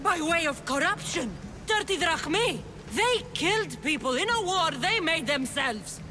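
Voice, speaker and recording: An actress playing a fiery, defiant young mercenary heroine in a video game cutscene, blunt and confrontational. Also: female voice, extremely high pitch, expressive, a little slow, background noise. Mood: angry, incredulous. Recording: music behind the voice.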